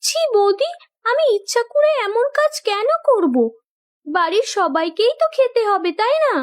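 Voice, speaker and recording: A woman playing an earnest young landlord's daughter in a Bengali cartoon, gently protesting and caring. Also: very high-pitched, expressive, normal speed. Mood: meek, protesting.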